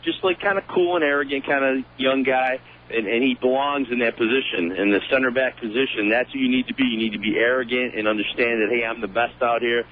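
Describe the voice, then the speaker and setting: A man's voice: mid-range voice, steady tone, quick pace. Man, sports radio commentator, chatty, joking, stumbles over words, tinny phone-line sound, faint hum. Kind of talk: casual radio chat.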